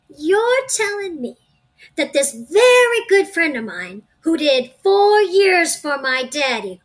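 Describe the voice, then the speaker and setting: A woman's voice: high-pitched, pushed and bright, heavily acted. A woman playing a hot-headed, loyal gangster in an audio drama, confrontational and sarcastic in the way they question people. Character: hot-headed gangster boss's loyal child, foul-mouthed and suspicious.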